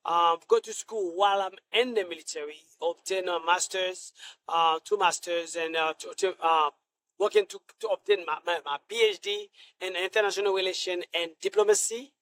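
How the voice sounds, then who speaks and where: A middle-aged man from Haiti, a Senate candidate answering interview questions in a halting way, full of restarts and fillers. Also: sits high, fairly even intonation, a bit bright, poor audio.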